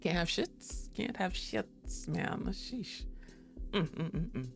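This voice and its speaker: A woman's voice: on the low side, animated, slow, creaky, music in the background. A young woman streaming, chatting casually and rambling, laughing often and swearing offhandedly.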